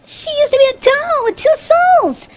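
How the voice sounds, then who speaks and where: A woman voicing an anxious, bookish young female unicorn pony in an animated fan drama, dramatic and emphatic. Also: very high-pitched, unhurried, a little hiss or hum, poor audio. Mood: distressed. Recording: music behind the voice. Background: background music.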